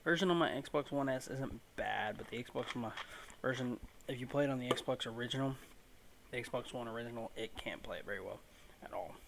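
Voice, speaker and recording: A man's voice: medium pitch, fairly quick, creaky, background noise. A man giving casual gaming commentary in an offhand, mildly grumbling way, with some swearing. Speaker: gameplay video host.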